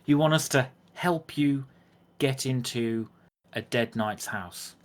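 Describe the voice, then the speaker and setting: A man's voice: mid-range voice, slow, voice pushed up, natural voice. A man playing a blunt, suspicious and exasperated town guard in a tabletop game.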